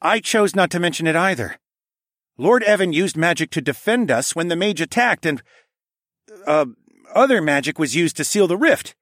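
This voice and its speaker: A man's voice: on the high side, lively intonation, moderate pace, a bit bright, dry recording, clean studio sound, voice pushed up. A man voicing a reluctant but frank young prince in an audiobook. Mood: reluctant candor. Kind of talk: audiobook drama dialogue.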